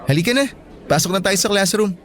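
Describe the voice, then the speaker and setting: Easygoing, curious teenage schoolboy. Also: male voice, high voice, expressive, a little fast, a bit bright. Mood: friendly, casual. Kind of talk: radio drama acting.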